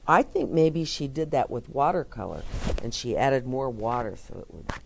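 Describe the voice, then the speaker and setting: A woman reading a picture book aloud to children, gently stopping to explain words and ask the listener questions. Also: a bass voice, expressive, moderate pace, vocal fry, background noise. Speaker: children's storyteller.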